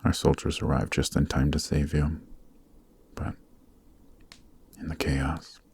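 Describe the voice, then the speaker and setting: A man playing a weary, noble Demon King in a fantasy ASMR roleplay, speaking softly and solemnly with a breathy voice. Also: little pitch movement, slight background noise, full character voice. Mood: grieving, solemn. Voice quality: very deep, soft, breathy, half-whispered. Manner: slow, solemn, earnest, formal phrasing. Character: weary, noble adult male Demon King, sorrowful and gentle. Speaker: voice actor.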